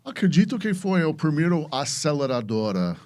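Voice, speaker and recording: A man's voice: a little high, very animated, moderate pace, darkish tone. An American man, a native English speaker, talking about his experience in Portuguese as a guest. He is hesitant, searching for words and restarting often. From United States. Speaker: guest, venture capitalist. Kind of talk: casual podcast interview.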